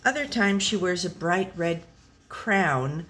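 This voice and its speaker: Slow, deadpan storybook pet read by a woman. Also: fairly low pitch. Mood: dry, tolerant. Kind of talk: scripted storybook narration.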